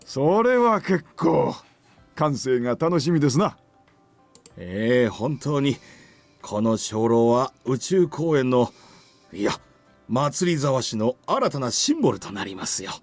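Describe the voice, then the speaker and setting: A courteous middle-aged gentleman in visual novel style. He speaks formally, like business small talk, in a medium-pitched voice recorded dry, with faint music underneath. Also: big pitch swings, normal speed, dry recording. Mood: polite, genial. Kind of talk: Japanese visual novel voice acting.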